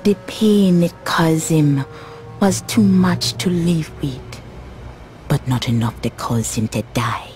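A woman's voice: low-pitched, takes it slowly, a little mellow, faint music behind. An actress playing an eerie, knowing sea witch in a video game, with a Caribbean accent and a mysterious storyteller's drawl. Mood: ominous, mysterious. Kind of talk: video game cutscene acting.

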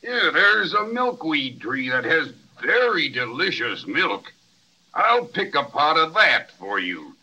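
A huge, kindly giant in an old 1930s radio serial. His voice is very bright and forceful, swooping up and down in slow, folksy, reassuring talk. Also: a little high, sing-song, dull audio, heavily acted. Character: huge gentle giant, kindly and weak-eyed.

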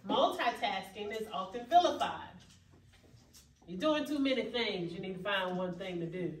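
A woman's voice: mid-range voice, expressive, normal speed. Emphatic woman speaking in an echoey hall. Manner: emphatic, preacherly, rising to exclamations. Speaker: keynote speaker. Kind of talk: motivational keynote speech. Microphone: far camera at back of room. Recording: echoey hall recorded from far off.

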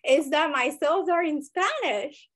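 A woman's voice: high voice, animated, moderate pace, fairly bright tone. A young woman with a light Cuban accent, a guest broker talking casually and expressively on a video call from home. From Cuba.

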